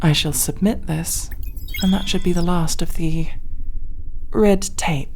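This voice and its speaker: A woman playing an aloof alien crewmate in a sci-fi ASMR roleplay, speaking in a stiff, precise, clinical way with deliberate pauses. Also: fairly low pitch, darkish tone, a little hiss or hum. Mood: clinical, detached. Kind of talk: ASMR roleplay addressed to the listener.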